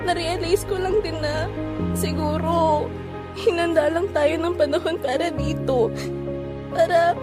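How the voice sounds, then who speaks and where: A woman acting a feisty, flustered young woman with a tender side, expressive and colloquial, in a romantic audio drama. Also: very high voice, unhurried, long pauses, over loud music. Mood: tender, grateful. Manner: expressive, colloquial, exasperated yet affectionate.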